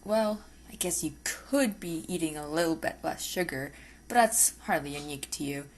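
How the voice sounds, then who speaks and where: A woman's voice: low voice, normal speed, lightly acted. A woman voicing an obsessive, possessive but politely spoken female vampire lab technician in an ASMR roleplay, with teasing, hesitant asides. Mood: coy, persuasive. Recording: faint background noise.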